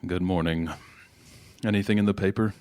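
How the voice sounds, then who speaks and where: A man acting in an audio drama as a middle-aged politician father, educated, defensive but caring, speaking in a conversational, gently questioning way. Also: low-pitched, fairly even intonation, moderate pace, no echo, studio quality, lightly acted. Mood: easygoing.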